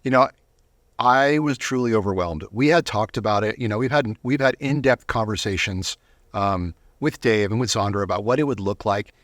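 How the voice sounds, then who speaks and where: A man speaking casually in a conversational interview, telling things emphatically with some halting phrasing. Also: lowish voice, fairly bright tone, no room sound, clean studio sound.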